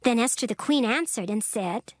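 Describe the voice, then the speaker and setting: A woman narrating a dramatized scripture recording in a measured, solemn storytelling manner. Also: on the high side, animated, dry recording, clean studio sound. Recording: narration over clear background music. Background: background music.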